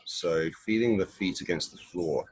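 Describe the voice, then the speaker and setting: A man leading a guided body scan meditation in an unhurried, instructional way, pausing between short phrases. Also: deep voice, slight background noise, poor audio.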